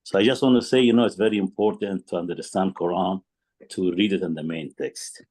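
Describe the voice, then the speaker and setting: A man's voice: low-pitched, steady tone, fairly quick, darkish tone. A man whose first language is Farsi, making an earnest comment in a study session, hesitating often with ums and "you know".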